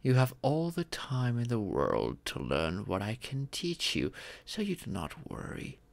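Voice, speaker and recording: A man voicing a gentle, proud dragon father and former king in a comfort roleplay, speaking formally and tenderly. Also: mid-range voice, huge pitch swings, creaky, no room sound, heavily acted. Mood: reassuring, fatherly. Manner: formal, tender, paternal speech with deliberate pauses. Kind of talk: scripted comfort ASMR roleplay.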